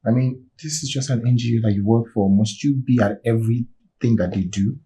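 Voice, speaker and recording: An actor playing a reluctant husband who grumbles drily about favors. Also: male voice, medium pitch, brisk, dark tone, husky, lightly acted. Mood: grudging.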